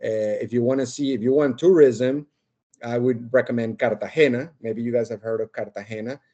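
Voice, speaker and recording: A man's voice: medium pitch, steady tone, fairly quick, dark tone, muffled recording. A man from Colombia with a Barranquilla accent, a podcast guest chatting casually and rambling with many fillers and restarts. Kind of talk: casual chat.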